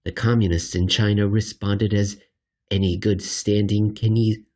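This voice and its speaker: A man hosting a reading of a written commentary, narrating with theatrical, wry emphasis, deliberate pauses and casual asides. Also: lowish voice, level tone, darkish tone. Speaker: host reading an article aloud.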